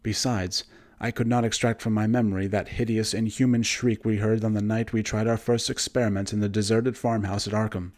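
Male narrator for suspense and horror fiction: slightly deep, measured delivery, formal literary reading. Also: little pitch movement, a little mellow. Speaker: audiobook narrator and voice actor. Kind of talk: scripted narration of a horror story.

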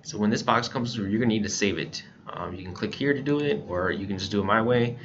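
An American man giving a casual, informal software tutorial, explaining things step by step as an instructor. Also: on the low side, quick pace. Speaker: instructor, scanner shop staff. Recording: muffled lo-fi voice-over, faint background noise.

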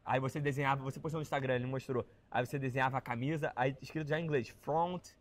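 Fast, excitable young man hosting. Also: medium pitch. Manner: loud, excitable, swears freely. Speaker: host. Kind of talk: casual chat. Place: outdoor driveway, seated on a couch.

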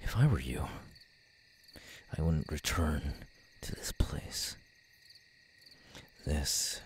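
A man acting an audio roleplay as a tormented, menacing young killer, bitter and halting, with a husky voice. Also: sits low, slight background noise, heavily acted. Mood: brooding, menacing. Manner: halting, brooding, bursting into anguished shouts.

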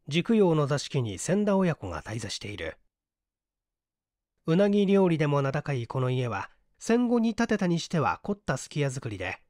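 Lively-pitched male audiobook narrator, dry sound. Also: no echo. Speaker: audiobook reader, stage actor. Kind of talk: scripted literary narration of a mystery story.

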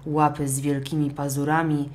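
Low-voiced woman narrating a children's story. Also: flat delivery, normal speed, darkish tone, slight background noise. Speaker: audiobook narrator.

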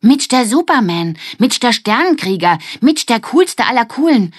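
A woman's voice: a little high, big pitch swings, normal speed, slightly rough, dry recording, clean studio sound, pitched above the performer's own voice. A woman voicing a feisty, defiant young girl in a children's audiobook, scornful and mocking. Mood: angry scorn.

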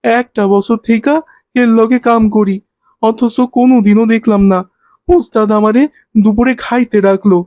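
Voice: medium pitch, steady tone, moderate pace, a little mellow, dry recording, lightly acted. An actor voices a hardworking cartoon boy who helps on a city minibus. He talks in plain, colloquial Bangladeshi speech with an aggrieved, protesting edge. The sound is close and dry. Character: hardworking ten-year-old boy, minibus helper, aggrieved. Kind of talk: cartoon voice acting.

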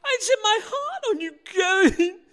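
A woman's voice: extremely high pitch, lively intonation, a little slow, a bit bright, heavily acted. A woman playing a tiny, impish, coy old man with a giggly, wheedling manner in a radio play. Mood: petulant, wailing. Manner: giggly, hesitant, repeats phrases.

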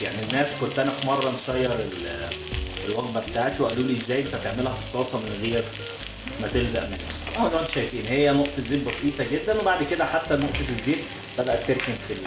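A man's voice: mid-range voice, lively intonation, racing, roomy sound, very noisy. A man with an Egyptian Arabic accent hosting a casual cooking demonstration in a home kitchen, explaining each step as he cooks. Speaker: cooking show host.

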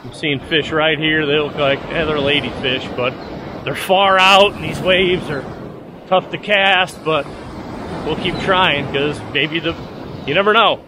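A middle-aged man with a fairly high voice chats to his chest-mounted camera as he fishes the surf. He talks loosely and breaks into excited whoops when a fish takes. Loud crashing waves and wind sit under everything he says. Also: measured pace. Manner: excited bursts of exclamation while fighting fish. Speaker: fishing vlogger. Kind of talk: casual self-narrated fishing vlog. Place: outdoors on an ocean beach in the surf. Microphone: action camera on a chest harness. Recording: noisy outdoor beach audio with surf.

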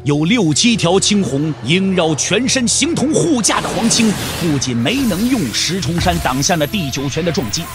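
Animated, high-pitched male storyteller. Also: moderate pace, hardly any pauses, over music. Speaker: narrator of a wuxia audio drama. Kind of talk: dramatic scripted storytelling narration.

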